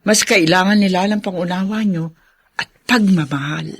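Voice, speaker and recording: A woman playing a kind, encouraging elder-sister figure in a radio drama, with a Filipino accent and gently advising. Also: on the low side, normal speed, slightly rough. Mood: earnest, encouraging.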